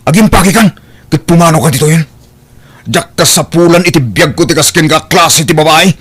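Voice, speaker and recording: A man's voice: on the high side, brisk, a bit bright, breathy edge. A man playing a stern, exasperated young husband protective of his father, in an audio drama with an Ilocano accent. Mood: angry.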